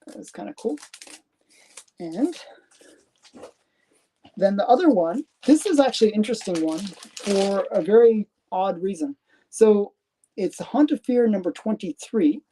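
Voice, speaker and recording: A Canadian woman with a Canadian accent hosting a live stream, talking in a rambling, halting way with lots of fillers and restarts. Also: medium pitch, mellow. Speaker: comic collector host. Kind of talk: casual live stream show-and-tell.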